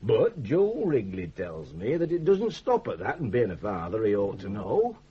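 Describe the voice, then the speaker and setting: Blunt Northern village spokesman, very animated. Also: on the low side, normal speed, breathy edge, full character voice. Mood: blunt, explaining. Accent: Northern English. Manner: blunt, emphatic, folksy. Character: blunt, crafty male village club spokesman, Mister Shoebridge. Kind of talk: early-1980s British radio drama acting. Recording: dull, band-limited old radio broadcast.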